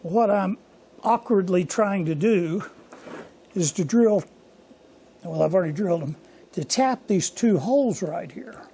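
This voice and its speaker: Hoarse older male shop host, higher-pitched and gravelly, folksy and rambling. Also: expressive, measured pace, long pauses, mellow. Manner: rambling, folksy and self-deprecating. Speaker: workshop channel host. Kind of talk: casual workshop vlog. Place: home machine shop.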